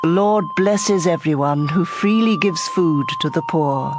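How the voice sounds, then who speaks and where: A narrator reading scripture in a measured style for a dramatized audio Bible. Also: medium pitch. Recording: music bed under voice. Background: instrumental music.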